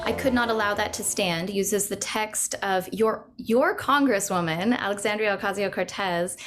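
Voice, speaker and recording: A soprano interviewing a composer in casual, thoughtful chat with frequent ums. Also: female voice, medium pitch.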